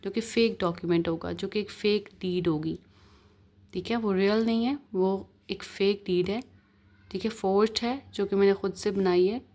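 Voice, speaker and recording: A woman's voice: medium pitch, fairly even intonation, normal speed, mellow. A woman with a Pakistani accent giving an explanatory lecture as a law teacher, in a didactic way with short check-in tags. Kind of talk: explanatory lecture, reading statutes aloud and explaining them.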